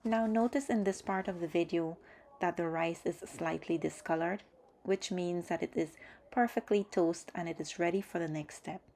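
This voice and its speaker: A woman with a fairly low voice and some creak in it narrates cooking instructions. She explains things step by step in an orderly way, as the host of a home cooking channel. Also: moderate pace, mellow, slight background noise.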